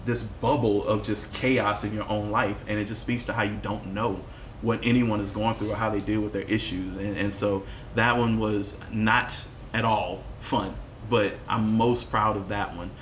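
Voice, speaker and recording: A man speaking as a musician guest in a candid podcast conversation, telling personal stories in a reflective, expressive way with casual swearing. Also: on the low side, normal speed. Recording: roomy echo with faint background noise.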